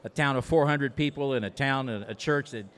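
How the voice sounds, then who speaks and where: A man's voice: medium pitch, fairly quick, a bit bright. An American man from a small town, a pastor talking casually as a podcast co-host before a live audience. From small-town United States.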